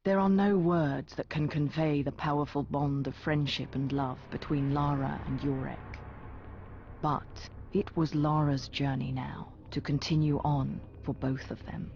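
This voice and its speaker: A man narrating a fantasy adventure game as a solemn, measured storyteller. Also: fairly high pitch, level tone, a little hiss or hum. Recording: dull sound under clear music. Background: music.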